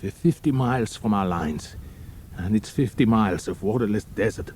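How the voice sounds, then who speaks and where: A man's voice: fairly low pitch, moderate pace, darkish tone, slight background noise, natural voice. An actor playing a wounded German airman in an audio drama, stoic and resigned, speaking weakly but matter-of-factly. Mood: resigned, firm. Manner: weak, resigned, matter-of-fact.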